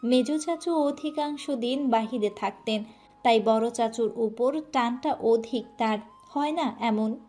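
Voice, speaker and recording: A woman's voice: fairly high pitch, darkish tone. A woman narrating a romantic family story in an emotional, earnest style.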